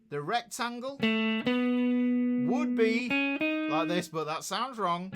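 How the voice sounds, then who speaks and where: A man teaching a guitar lesson, explaining each step in an upbeat way while he plays. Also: fairly high pitch. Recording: loud guitar playing over voice. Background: guitar playing between phrases.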